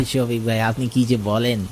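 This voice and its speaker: Man acting slow-spoken, deferential hotel helper. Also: medium pitch, darkish tone, a little hiss or hum. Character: deferential male hotel employee, easygoing and reassuring.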